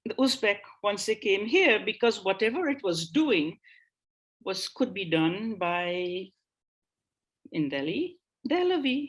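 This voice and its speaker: A woman giving an online lecture over a video call, thinking aloud with frequent pauses and restarts. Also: a little high. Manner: reads out an old text in a slower voice. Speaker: guest lecturer on language history.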